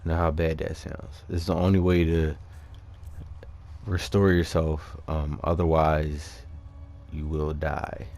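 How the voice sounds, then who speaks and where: A man giving casual, unscripted gameplay commentary, haltingly thinking aloud as he explains things. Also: very deep voice, level tone, darkish tone. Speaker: gamer presenting a character build.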